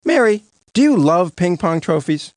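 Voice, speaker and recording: An actor playing a brash, glib prosecuting lawyer in a cartoon, with a showy, blustering manner. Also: male voice, high voice, very animated, normal speed, no echo, clean studio sound. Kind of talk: animated comedy courtroom dialogue.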